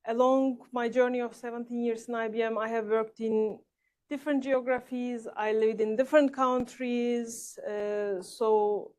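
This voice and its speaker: A woman from Turkey speaks on a live panel at a staged event. Her voice sits fairly high and she talks in a friendly, halting way full of filler pauses. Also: steady tone, a little mellow. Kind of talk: panel introduction. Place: event stage in a large hall.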